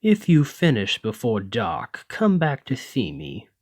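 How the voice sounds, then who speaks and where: A man's voice: mid-range voice, wildly swooping pitch, slow pace, darkish tone, no room sound, clean studio sound, heavily acted. A man playing a haughty, teasing male lamia noble in an ASMR roleplay, with a pompous, refined, drawling and condescending manner. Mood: softened, pleased. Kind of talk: ASMR roleplay, scripted monologue to listener.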